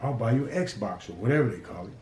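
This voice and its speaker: A man's voice: fairly low pitch, expressive, quick pace, darkish tone, close to an everyday voice. A man voicing a hot-tempered, threatening gang boss who swears freely. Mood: menacing. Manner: threatening, profane, growling. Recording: roomy echo.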